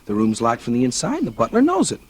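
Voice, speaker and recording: An actor with an American accent playing a casual, calculating, scheming husband in a 1940s radio thriller. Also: male voice, medium pitch, huge pitch swings, quick pace, breathy edge. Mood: confident, conspiratorial. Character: smooth-talking, scheming husband plotting murder. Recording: noisy old radio recording.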